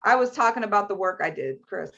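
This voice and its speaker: A woman's voice: medium pitch, animated, quick pace, creaky, fairly bright tone. Woman, friendly, chatty, sharing experience, video call, slightly muffled sound. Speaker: financial services agent.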